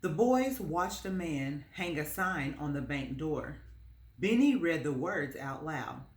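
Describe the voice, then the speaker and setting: A woman reading a children's story aloud as narrator, in a measured, expressive storytelling manner. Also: low voice. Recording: roomy, echoing space.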